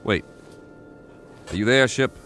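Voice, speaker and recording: A man's voice: mid-range voice, slow pace, fairly bright tone, soft background music. An actor playing an irritable, weary, sarcastic male space traveler in a science fiction radio drama, with a sardonic, exasperated manner.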